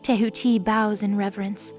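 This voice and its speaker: A woman's voice: mid-range voice, flat delivery, normal speed, a little mellow, soft background music. A woman narrating a scripted dramatic story.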